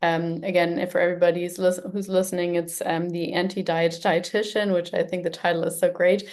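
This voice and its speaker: A female podcast host speaks to her guest in an appreciative, casual way, with frequent ums. Her voice sits low and stays fairly flat in tone. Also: brisk. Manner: warm, appreciative, with filler ums. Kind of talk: casual interview chat.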